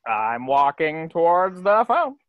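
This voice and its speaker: A man's voice: a little high, sing-song, slow pace, narrow, tinny audio. A man playing a snide, haughty squid cashier in a cartoon table reading, sarcastic and needling. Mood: smug, needling.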